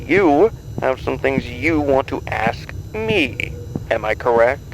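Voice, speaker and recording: A man's voice: mid-range voice, sing-song, slow, slight background noise, poor audio. A man playing a suavely menacing game show boss in an audio drama, theatrical, condescending and mock-polite.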